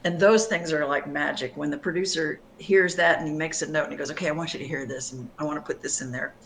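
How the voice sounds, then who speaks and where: A middle-aged woman singer-songwriter answering interview questions in a thoughtful, reflective way, often pausing and restarting. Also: low-pitched, fairly even intonation, fairly bright tone.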